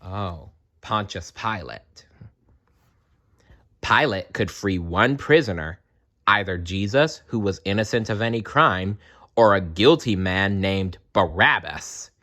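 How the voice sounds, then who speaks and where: A man reading a children's story aloud as a dramatic, expressive narrator. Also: fairly low pitch, slow pace.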